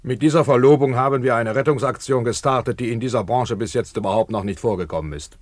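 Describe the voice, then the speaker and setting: A man's voice: medium pitch, fast talker, natural voice. A man playing a pompous, portly rich manufacturer in a satirical radio drama, long-winded and coldly businesslike. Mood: triumphant. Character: pompous, portly, cold-blooded rich trouser manufacturer and tycoon.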